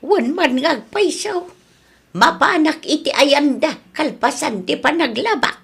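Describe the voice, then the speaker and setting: A woman with an Ilocano Filipino accent playing a nosy, suspicious older village mother in a comedy radio drama, with a husky, probing delivery. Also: high-pitched, lively intonation, a little slow, a bit bright, exaggerated voice.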